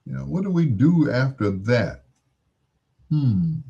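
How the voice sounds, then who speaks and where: A man's voice: medium pitch, sing-song, takes it slowly, creaky, dark tone, slightly rough, dull audio. An American man hosting in a casual, rambling monologue, musing aloud and posing rhetorical questions. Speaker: radio-style host.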